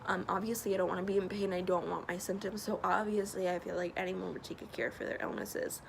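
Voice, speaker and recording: A young woman with a stuffy nose talks earnestly through a casual vlog, filling pauses with ums. Her voice carries a gravelly fry. She records outdoors, and clear traffic noise runs behind her. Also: on the low side, little pitch movement. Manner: chatty, earnest, many fillers. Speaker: vlogger. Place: outdoors by a loud road. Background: passing traffic.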